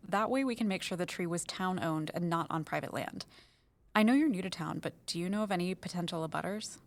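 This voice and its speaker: An actress plays a supportive, practical woman who works as a town researcher. Her voice is a little low, and her delivery is brisk and natural, as in an audio drama. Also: no echo, close to an everyday voice. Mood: helpful, brisk. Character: practical, helpful woman town-office colleague, tech-savvy researcher.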